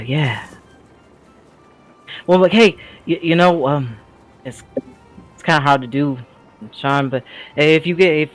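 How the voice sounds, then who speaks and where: A man's voice: medium pitch, close to an everyday voice, poor audio. Man playing an awkward, stammering young sidekick. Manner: hesitant, stammering, rambling. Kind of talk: improvised tabletop audio drama. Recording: music behind the voice.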